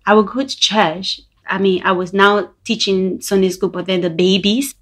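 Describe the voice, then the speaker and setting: A woman's voice: mid-range voice, fairly even intonation, moderate pace, fairly bright tone. A young woman from Liberia, candidly telling her personal faith story as a podcast guest and laughing easily. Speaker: guest sharing her testimony.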